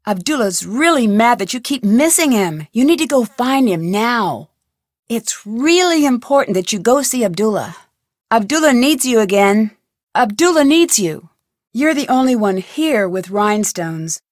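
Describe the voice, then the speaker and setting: A woman's voice: on the high side, very animated, unhurried, no room sound, clean studio sound. An actress voicing a curious young woman detective, asking polite, inquisitive questions in recorded game lines.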